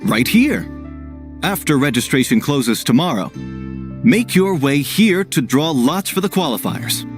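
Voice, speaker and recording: A man playing a formal, courteous tournament official, announcing clearly in a video game. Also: a little high, expressive, measured pace, soft background music. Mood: formal, polite.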